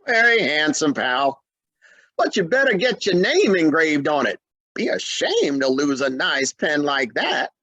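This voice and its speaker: A man's voice: a little high, sing-song, unhurried, sharp tone, no echo, full character voice. A man acting a genial family friend with salesman-like patter in an old-time radio comedy. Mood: friendly.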